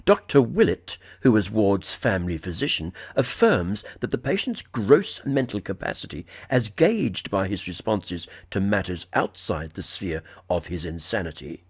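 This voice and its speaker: Male narrator for classic horror fiction: husky, expressive melody, formal and measured. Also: fairly low pitch. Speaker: audiobook narrator. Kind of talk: dramatic literary horror story reading.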